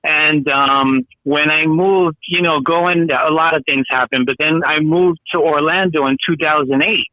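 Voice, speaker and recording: A man's voice: fairly high pitch, steady tone, a little slow, no room sound. A man of about fifty, a guest telling his story in a rambling, hesitant way full of fillers. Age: 50s. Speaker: guest artist. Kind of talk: casual interview chat. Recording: dull lo-fi sound.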